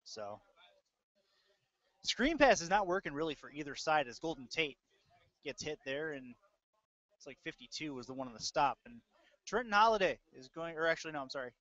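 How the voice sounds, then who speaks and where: A man's voice: fairly high pitch, animated, moderate pace, long pauses, a bit bright, no room sound. A man doing live play-by-play football commentary in clipped fragments with hesitant asides. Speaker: play-by-play announcer. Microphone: close mic, audio-only stream. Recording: dull lo-fi dry audio.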